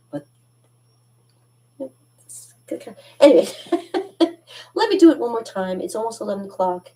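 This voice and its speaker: A woman's voice: fairly low pitch, far from the mic, poor audio. A woman with a Canadian accent, chatting casually and rambling between songs, laughing often. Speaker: channel host. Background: loud ukulele strumming.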